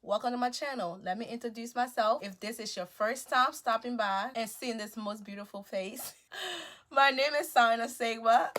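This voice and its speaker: A woman's voice: a little high, moderate pace, a bit bright, slight background noise. A woman in her twenties from Liberia with a Liberian accent, chatting upbeat to camera as a beauty vlogger and tagging her sentences with "okay?". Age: 20s. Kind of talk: casual direct-to-camera chat.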